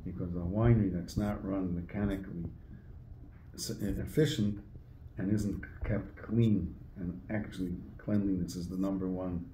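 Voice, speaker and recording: An older man in his sixties with a very low, mellow voice talks casually as an interview guest, halting and restating himself as he explains. It is picked up by a phone across a table in a roomy space with faint noise. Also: fairly even intonation. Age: 60s. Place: indoor room at a table. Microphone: phone camera across a table.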